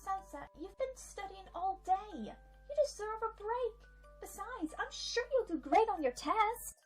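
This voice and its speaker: A woman plays a cheerful young mare pony with a very high, chirpy voice. She sounds upbeat and encouraging, with soft music playing behind her. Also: lively intonation, measured pace.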